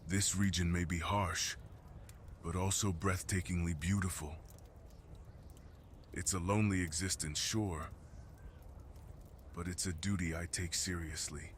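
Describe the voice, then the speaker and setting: A low-pitched, solitary mountain creature, acted by a man, guards the peaks and speaks with solemn, protective gentleness. The delivery is measured and intimate, in the manner of fantasy ASMR roleplay. Clear ambient noise sits behind the deep voice. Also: fairly even intonation. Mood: solemn, reverent.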